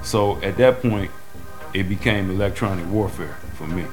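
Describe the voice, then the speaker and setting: A man's voice: low-pitched, normal speed. A man talking candidly, as in an interview, in a blunt, colloquial, emphatic way. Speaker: musician and record label member. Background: music.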